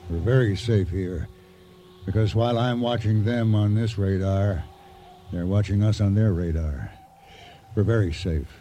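An actor playing a calm, commanding seafaring schemer, terse and smoothly courteous with quiet menace, in a radio drama. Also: male voice, fairly low pitch, unhurried, lo-fi sound, natural voice. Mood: calm, reassuring. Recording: radio drama with faint background noise.

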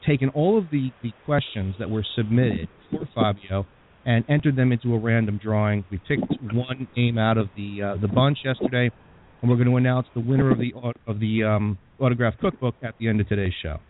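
A man's voice: medium pitch, fairly quick. Male radio host, friendly chatter, phone-like thin recording. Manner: chatty, many fillers. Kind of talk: radio interview. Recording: tinny phone-like sound.

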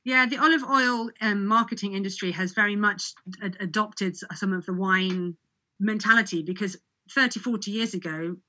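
A woman who sells olive oil, chatting as a guest, animated and laughing as she acts out what others said. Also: medium pitch, normal speed. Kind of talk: casual chat. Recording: muffled lo-fi audio.

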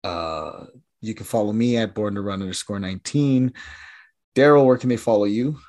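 Man, raspy recovering voice, rambling podcast host, trails off, slightly low. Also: moderate pace, darkish tone. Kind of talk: casual chat.

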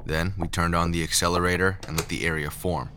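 A man narrating a scripted first-person audio drama as a scientist, in a measured storytelling manner. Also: sits low, flat delivery, noisy background.

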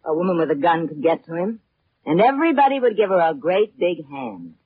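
Husky, sardonic femme fatale. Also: female voice, fairly low pitch, very animated, a little fast. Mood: teasing, cool. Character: sardonic, flirtatious female former band singer. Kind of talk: 1940s radio detective drama acting. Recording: thin, narrow vintage radio recording.

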